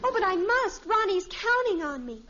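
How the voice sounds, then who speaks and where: An actress playing a lovestruck, headstrong American teenage daughter in a 1950s radio sitcom, earnest and melodramatic. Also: female voice, very high voice, sing-song, moderate pace, bright, forceful tone, a little hiss or hum, full character voice. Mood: pleading. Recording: muffled old radio recording.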